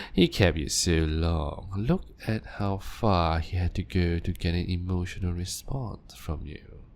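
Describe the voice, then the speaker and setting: Gravelly sadistic vampire doctor. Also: male voice, sits low, slight background noise, heavily acted. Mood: toying. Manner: slow, silky, toying, with soft laughs. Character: male vampire doctor, calm, sadistic, clinical. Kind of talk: audio drama roleplay.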